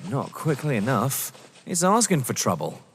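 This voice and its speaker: An actor playing a down-to-earth young lover drawn into a scheme, with a wary, dry, protesting manner, in a stage-thriller audio drama. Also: male voice, mid-range voice, sing-song, normal speed, a little hiss or hum. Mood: sceptical. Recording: long hall-like reverb.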